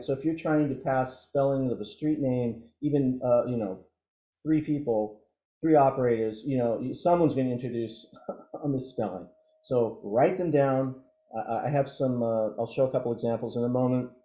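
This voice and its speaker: Very mellow-voiced man teaching, roomy sound. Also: mid-range voice, normal speed. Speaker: emergency radio trainer. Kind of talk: informal instructional presentation. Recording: dull, roomy, echoey audio.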